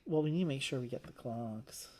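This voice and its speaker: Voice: mid-range voice, a little fast, warm tone. Chatty board game co-host, mid-range voice. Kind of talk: casual game chat.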